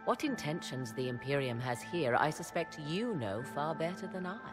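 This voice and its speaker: An enigmatic sorceress from a fantasy game, haughty and theatrical. Her diction is archaic and measured, with sly pauses. Music plays clearly behind her. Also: sits low, sing-song. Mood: grave, measured. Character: enigmatic, haughty sorceress, arcane advisor at court. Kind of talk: fantasy game cutscene acting.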